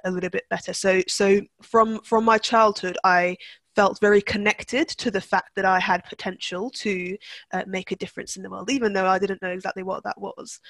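A woman's voice: mid-range voice, fairly bright tone, no room sound. A young woman from Turkey, a guest in a conversational interview, speaking reflectively with frequent restarts and fillers. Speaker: guest, NLP practitioner.

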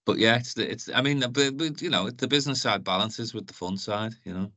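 A man's voice: medium pitch, brisk, pushed and bright, no echo. A middle-aged man from Liverpool with a Liverpool accent, chatting as an interview guest, rambling with many restarts and "you know". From Liverpool, England. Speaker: guest, council events advisor. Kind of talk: casual interview chat.

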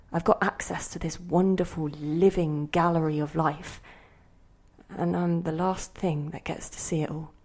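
Low-voiced woman a possessive, wistful inner spirit. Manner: theatrical, musing, by turns sharp and intimate. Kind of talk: audio drama monologue and dialogue.

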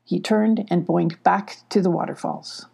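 An older woman reads a children's story with expression. Her voice is mellow and husky, with a creaky grain, and sits at a middle pitch. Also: steady tone, a little slow. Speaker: storybook reader.

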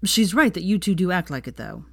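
A woman's voice: on the low side, very animated, a little fast, vocal fry, dry recording, clean studio sound, heavily acted. A woman voicing a flustered, awkward young man who is out of breath, in a story reading. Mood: breathless relief.

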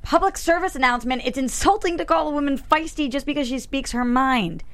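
A woman's voice: high voice, fairly quick. A woman playing an assertive young newscaster, pointed and declarative, at a script table read. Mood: defiant.

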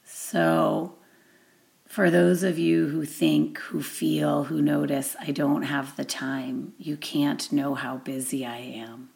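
A woman giving a guided meditation introduction as an instructor, with deliberate, measured phrasing and long pauses. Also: low voice, flat delivery, vocal fry, a little mellow.

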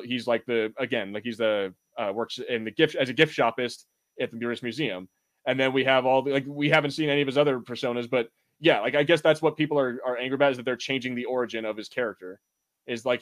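A man with a medium-pitched voice co-hosts a casual pop culture podcast. He talks in a loose, rambling way, circling back over his points and swearing freely. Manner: rambling, profane. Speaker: podcast co-host. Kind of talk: casual podcast banter about film and TV.

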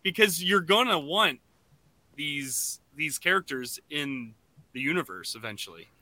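A man's voice: on the high side, animated, a little slow, sharp tone, no room sound, low-quality recording. A man co-hosting a podcast, speaking in casual chat. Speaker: podcast co-host.

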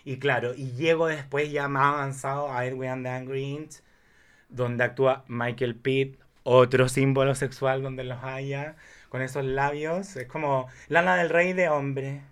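A man's voice: medium pitch, flat delivery, measured pace, a bit bright, clear, resonant tone. A man with a Chilean Spanish accent chatting casually about films in a campy, effusive, exclamatory way. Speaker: podcast guest.

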